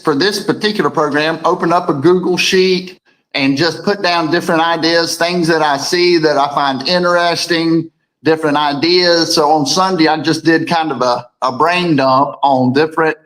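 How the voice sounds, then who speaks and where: Deep-voiced man co-hosting a morning chat. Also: normal speed, a bit bright, rough recording. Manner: rambling, repeats words, reads viewer comments aloud. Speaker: co-host. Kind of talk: casual morning show chat.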